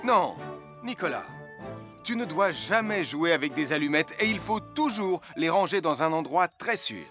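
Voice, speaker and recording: A man voicing a friendly fireman hero in a French children's cartoon, teaching in a clear presenter's manner. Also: on the high side, animated, moderate pace, close to an everyday voice. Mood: firm. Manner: clear, instructive presenter delivery. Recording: music behind the voice.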